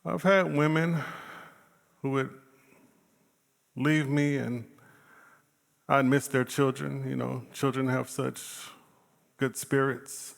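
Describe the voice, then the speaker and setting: A man playing a wounded, tender painter in a stage monologue, confiding in halting, broken phrases. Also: medium pitch, creaky. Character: wounded, tender male painter mourning his brother's bond. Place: indoor stage performance space. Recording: echoey stage hall.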